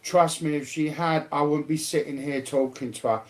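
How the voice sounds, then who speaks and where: A man's voice: on the high side, steady tone, moderate pace. A man chatting casually in a blunt, combative, slangy way with heavy swearing. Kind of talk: casual, heavily sweary chat.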